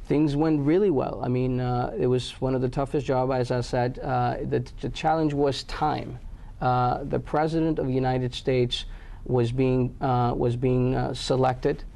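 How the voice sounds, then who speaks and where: A man's voice: medium pitch, flat delivery, measured pace, vocal fry, dark tone. A man from Pakistan answering interview questions about his company, with many fillers and restarts. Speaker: guest, company head.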